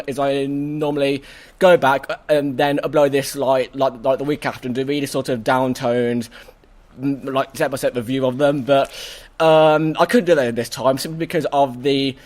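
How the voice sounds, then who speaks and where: A hoarse man hosting a casual review chat, excitable and full of stammering restarts. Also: medium pitch, brisk. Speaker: host.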